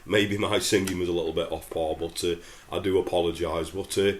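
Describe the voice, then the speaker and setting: A man's voice: low-pitched, brisk, a little hiss or hum. A middle-aged English man with a head cold and nasal voice, chatting casually through a guitar lesson with lots of fillers. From England. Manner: chatty, relaxed, many fillers. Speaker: guitar teacher. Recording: roomy sound with loud acoustic guitar.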